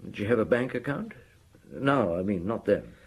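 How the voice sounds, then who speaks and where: An actor playing a senior detective chief in an audio drama, shrewd and thoughtful, musing in a measured way. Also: male voice, fairly low pitch, lively intonation, husky. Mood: probing.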